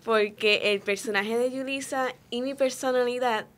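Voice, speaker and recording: A woman's voice: on the high side, steady tone, moderate pace, fairly bright tone. A young woman with a Puerto Rican accent giving reflective, explanatory answers in a conversational interview. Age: young adult. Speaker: student voice actor, guest.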